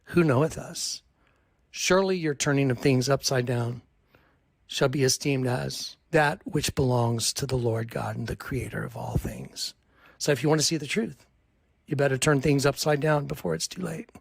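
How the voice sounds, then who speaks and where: A man's voice: mid-range voice, moderate pace, creaky, slightly rough, dry recording. A man preaching urgent warnings about hidden spiritual truths and quoting scripture. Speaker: channel host. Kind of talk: preaching commentary.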